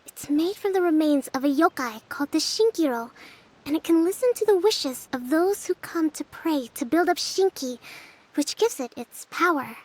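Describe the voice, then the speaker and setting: A woman playing a composed, thoughtful young female general in an anime-style game, measured and polite as she explains. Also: high voice, animated, darkish tone. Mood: explaining.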